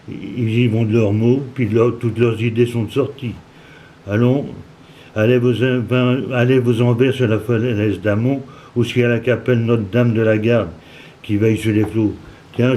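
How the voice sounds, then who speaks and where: A man in his seventies from Normandy with a Cauchois accent, reading a story aloud in dialect, halting at times with restarts and asides. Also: medium pitch, steady tone, dark tone, slight background noise. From Normandy, France. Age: 70s.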